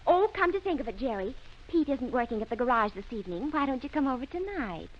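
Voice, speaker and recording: A woman's voice: high-pitched, sing-song, fast talker. An actress playing a gushing, meddling small-town woman in an old radio drama, chatty and sweetly insinuating. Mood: breezy. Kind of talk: 1930s-40s radio drama acting. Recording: dull lo-fi old radio recording.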